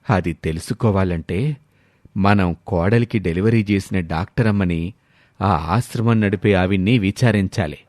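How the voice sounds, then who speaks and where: A man's voice: low-pitched, moderate pace, darkish tone, no room sound, clean studio sound, natural voice. A man voicing an elderly grandfather in a story, suspicious and demanding, with confrontational, insistent questioning. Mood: resolved.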